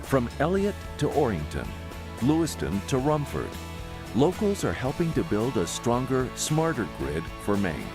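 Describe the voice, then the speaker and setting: A man's voice: medium pitch, wildly swooping pitch, a little slow. Man narrating a promo over music. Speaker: video narrator.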